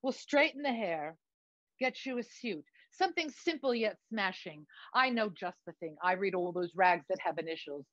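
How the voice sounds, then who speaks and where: A woman's voice: mid-range voice, lively intonation, moderate pace, fairly bright tone, no room sound. Woman as flamboyant male theater type, put-on British accent, grand and emphatic, close dry sound, slightly dull recording. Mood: breezy, campy. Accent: affected British. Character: flamboyant theatrical man friend, affecting a British accent, dramatic. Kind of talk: theatrical monologue, acted dialogue.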